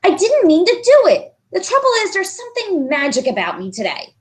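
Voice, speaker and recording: A woman plays an anxious young schoolboy in a storybook. The voice climbs high and swings widely as he earnestly pleads to be believed, protesting with great emphasis. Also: huge pitch swings, a little fast, full character voice. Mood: protesting, self-pitying.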